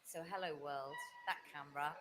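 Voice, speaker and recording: A woman's voice: deep voice, fairly even intonation, fairly quick, over a noisy crowd. A woman hosts a live prize ceremony, reading out the shortlist in an upbeat, presenter-like way. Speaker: ceremony host. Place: event venue. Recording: noisy venue stream.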